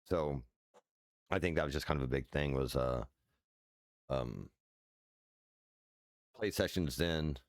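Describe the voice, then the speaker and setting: Very deep-voiced man rambling casually. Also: slow pace, pauses often, no room sound, studio quality. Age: 40s. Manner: loose, rambling, many fillers and restarts, swears casually. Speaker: streamer. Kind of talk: casual rambling chat while sim racing. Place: his house.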